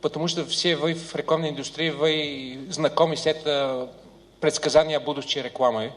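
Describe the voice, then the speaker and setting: A man's voice: a little high, steady tone, brisk. A man interpreting a seminar talk, hesitating and searching for words. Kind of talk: consecutive interpreting. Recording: hall reverb.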